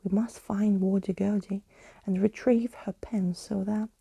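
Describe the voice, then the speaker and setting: A woman whispers as an exasperated skeleton in a storybook. The delivery is hushed and mellow, reproachful and wordy, like a weary companion telling someone off. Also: mid-range voice, steady tone, measured pace, creaky, husky, no echo.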